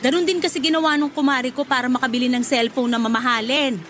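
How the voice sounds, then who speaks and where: High-voiced, nagging middle-aged mother. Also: steady tone, fast, fairly bright tone, a little hiss or hum. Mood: insistent, demanding. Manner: nagging, insistent. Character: demanding, wheedling middle-aged mother of grown children. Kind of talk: Tagalog radio drama acting.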